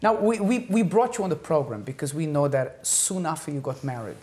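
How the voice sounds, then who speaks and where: A woman's voice: sits low, animated, brisk, darkish tone. A woman hosting a talk show, upbeat and full of questions for her guests.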